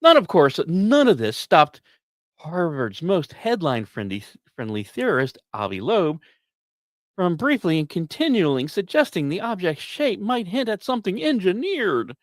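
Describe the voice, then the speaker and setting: A man's voice: high-pitched, huge pitch swings, normal speed, no echo. A man hosting a science podcast, delivering semi-scripted commentary with wry asides and the odd stumbled, repeated word.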